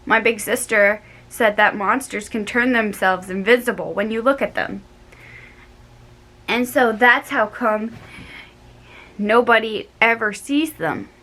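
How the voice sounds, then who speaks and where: A woman voicing a quiet, serious little girl who talks earnestly to her worried friend in a storybook reading. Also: on the high side, a little slow.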